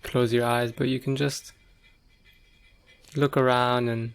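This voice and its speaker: A man leading a guided meditation, speaking gently and leaving long pauses between short phrases. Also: mid-range voice, level tone, vocal fry, clean tone. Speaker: meditation teacher.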